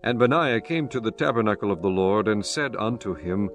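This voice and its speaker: A man's voice: on the low side, lively intonation, brisk. A man giving scripted narration for a dramatized Bible recording, telling the story in a solemn, formal manner. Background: soft background music.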